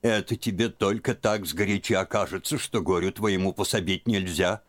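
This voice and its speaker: A man voicing an excitable elderly aunt who frets and scolds in a raised voice. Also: normal speed, natural voice. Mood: coaxing. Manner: shrill, fretful, scolding. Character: fussy excitable old aunt, elderly woman.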